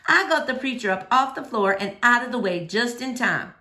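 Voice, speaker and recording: A woman reading a children's novel aloud in an expressive, animated storytelling manner. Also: fairly high pitch, normal speed. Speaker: storybook reader.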